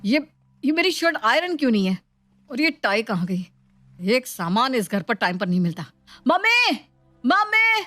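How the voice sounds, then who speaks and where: A woman's voice: a little high, big pitch swings, moderate pace, bright, forceful tone, dry recording. A woman voicing a grumpy, self-pitying young husband who complains his way through a domestic story. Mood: irritated. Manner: complaining, dismissive.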